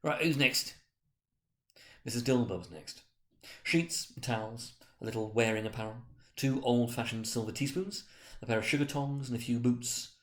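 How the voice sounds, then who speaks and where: Male reader for dramatic story narration, medium pitch, scripted delivery. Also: normal speed.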